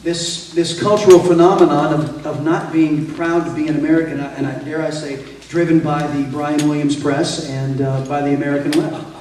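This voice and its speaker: Male radio host as forum moderator; halting, filler-heavy, wry; distant mic in a booming room with faint noise. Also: fairly even intonation, darkish tone, very echoey. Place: museum event hall.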